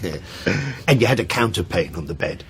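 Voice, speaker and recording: A man's voice: low-pitched, lively intonation, fairly quick, fairly bright tone, breathy edge, heavily acted. An older man acting a reserved, ailing father in a naturalistic radio drama. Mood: cautious.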